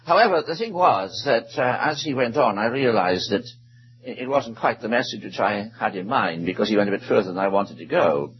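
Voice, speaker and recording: A man with a Welsh accent preaching a sermon, reading scripture in a measured, drawn-out way. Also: lowish voice, animated, husky. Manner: measured, stretches out scripture verses. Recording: dull lo-fi recording.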